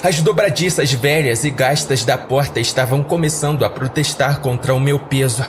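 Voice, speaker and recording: A man's voice: mid-range voice, moderate pace, soft background music. A man narrating a scripted horror story in a dramatic audiodrama style, as a podcast storyteller.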